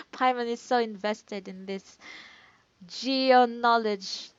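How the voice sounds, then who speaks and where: A woman's voice: a little high, slow pace, smooth, no echo, lo-fi sound. A woman streaming a game, making light, offhand commentary in a casual way. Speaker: gameplay streamer.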